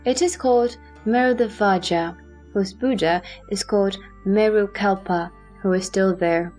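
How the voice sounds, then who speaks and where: A woman voicing Manjushri, a stately, wise male bodhisattva, speaking in a measured, reverent way over faint music. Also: medium pitch, a little mellow, lightly acted.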